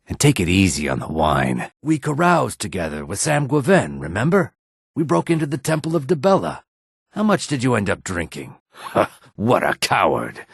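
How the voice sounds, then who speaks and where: A man voicing a brash, mercenary lizard-man in a video game, with a gruff, raspy, swaggering delivery. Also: deep voice, a bit bright, no room sound, studio quality, exaggerated voice. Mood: grudging, sardonic, then mocking, menacing. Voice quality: husky, raspy. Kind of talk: video game character dialogue.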